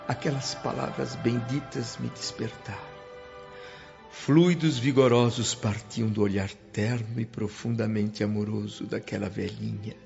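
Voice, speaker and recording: A man's voice: fairly low pitch, vocal fry. A Brazilian man playing a remorseful, devoted son spirit who narrates a radio drama with reverent emotion. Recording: dull radio drama with loud music.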